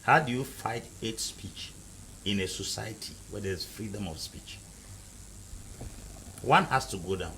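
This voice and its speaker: A man's voice: on the low side, lively intonation, measured pace, vocal fry, long pauses, sharp tone, slight background noise, low-quality recording. A man from Cameroon arguing emphatically as a panel guest in a heated debate, repeating and driving home his points. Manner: emphatic, repetitive, lecturing.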